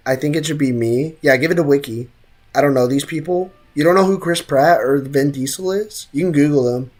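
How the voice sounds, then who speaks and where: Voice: medium pitch, moderate pace, a little mellow. A game streamer giving casual livestream commentary in a joking, slangy way.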